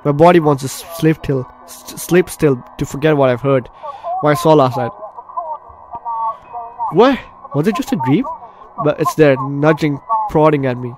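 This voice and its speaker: A man's voice: fairly high pitch, moderate pace, pauses often, background noise. A man doing gameplay commentary, reading story text aloud dramatically and stumbling over words now and then. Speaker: gaming video host. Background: game music.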